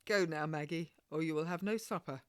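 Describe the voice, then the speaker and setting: A woman's voice: deep voice, fairly quick, no echo, studio quality, natural voice. Woman playing a firm, pious midwife. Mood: brisk, commanding. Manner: firm, admonishing, archaic phrasing. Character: stern, pious adult woman midwife, guarded, proud.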